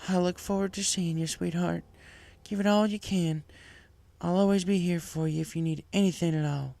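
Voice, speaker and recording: Man doing a tender, creaky farm mare. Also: high-pitched, fairly even intonation, moderate pace, dark tone, no echo, pitched above the performer's own voice. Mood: loving. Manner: folksy, affectionate endearments. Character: tender, folksy female farm pony. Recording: music behind the voice.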